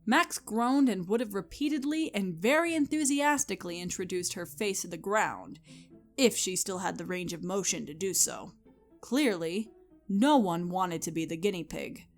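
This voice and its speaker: A woman giving a scripted, expressive and deliberate dramatic narration of a story. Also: mid-range voice, soft background music.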